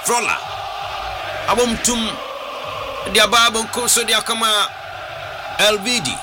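A man with a Ghanaian accent doing live radio football commentary, shouting excitedly and mixing Twi and English. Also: high-pitched, measured pace. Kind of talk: live radio play-by-play with read-in ads. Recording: noisy broadcast with crowd behind.

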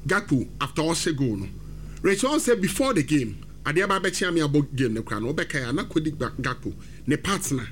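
A man with a Ghanaian accent hosting a football talk segment, animated and switching between English and Twi. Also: a little high, a little fast, a little hiss or hum. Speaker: sports radio host.

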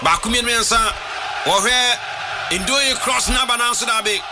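A man giving live football commentary, shouting excitedly and mixing Twi and English. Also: very high voice, moderate pace, background noise.

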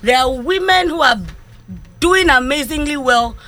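A woman's voice: sits high, unhurried, bright, forceful tone. A young adult woman comedian with a Ghanaian accent, speaking candidly and animatedly in an interview, now and then slipping into Pidgin. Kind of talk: interview conversation.

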